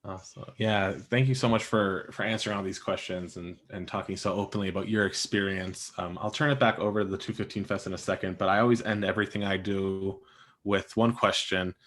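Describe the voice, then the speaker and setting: A man with a distinctly low voice and an American accent. He moderates an online book panel in a casual, conversational style. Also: fairly even intonation, a little fast, a bit bright. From Scranton, Pennsylvania, United States. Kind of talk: casual event hosting. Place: remote online event.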